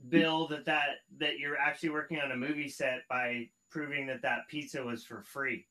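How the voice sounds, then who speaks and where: A man's voice: medium pitch, little pitch movement, moderate pace, pushed and bright, rough recording. A man chatting casually as a participant in an online open mic over a video call. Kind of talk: casual chat.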